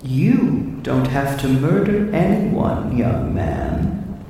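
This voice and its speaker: Slow, echo-drenched devilish monster. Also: male voice, medium pitch, animated, dark tone. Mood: coaxing, sly. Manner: theatrical, slow, coaxing, menacing. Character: sly devilish pastel monster, taunting deal-maker. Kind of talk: radio drama acting. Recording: echoing hall-like reverb, distant, faint noise.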